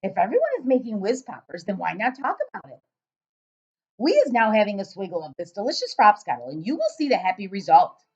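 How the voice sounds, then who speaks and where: A big, exuberant old giant from a children's storybook, played by a woman reading aloud. The voice booms and swings widely in pitch, stretching exclamations with great emphasis. The recording is dull and lo-fi. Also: very animated, darkish tone, husky. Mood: gleeful. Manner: booming, emphatic, drawn-out exclamations. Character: big friendly old giant, exuberant, mangles his words.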